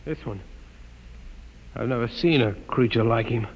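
An actor playing a proud but frightened young male scientist in a 1950s radio drama, earnest and quick to indignation. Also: mid-range voice. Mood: wary, haughty. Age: young adult. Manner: earnest, indignant, often alarmed.

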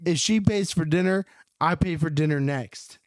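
A man's voice: fairly high pitch, moderate pace, no echo, clean studio sound. A man in his twenties hosting a podcast, rambling and restarting as he chats casually. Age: 20s. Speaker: podcast host. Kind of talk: casual chat.

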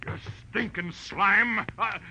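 A man's voice: sits high, steady tone, slow pace, pushed and bright, exaggerated voice. An actor playing a big, brutish, menacing gunman in a 1940s American radio drama, with an American accent. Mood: raging, panicked. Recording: noisy, clicky old radio recording.

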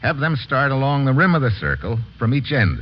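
A man playing a brisk, resourceful American investigator in an old-time radio drama, with a clipped, commanding manner. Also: mid-range voice, very animated. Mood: focused, businesslike. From United States. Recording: dull, noisy old radio recording.

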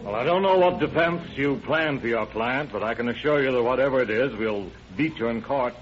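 A man's voice: mid-range voice, fairly quick, lightly acted. Male actor as confident American prosecutor, combative, emphatic, formal, 1930s radio acting, muffled recording, faint hiss. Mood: confident, insistent.

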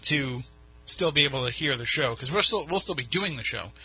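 A man's voice: fairly high pitch, expressive, a little fast, pushed and bright, slightly rough, soft background music, low-quality recording. A man with a New England accent hosting a late-night radio talk show, chatting loosely with many restarts. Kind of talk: casual on-air banter. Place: radio studio. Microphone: studio microphone with headphones.

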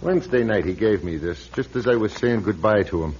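An actor playing a blunt, weary old priest in a radio drama, husky and sardonic, on a muffled recording. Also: male voice, on the low side, a little fast, darkish tone, slight background noise, lightly acted. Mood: subdued. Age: older. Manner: gruff, sardonic, plain-spoken. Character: blunt, weary, grumbling old Irish-American parish priest.

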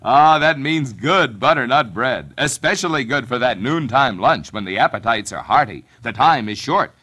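A man announcing a folksy sales pitch in the manner of a vintage radio commercial. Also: expressive, normal speed, pushed and bright. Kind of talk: folksy 1940s-50s radio bread ad. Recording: vintage radio ad over music.

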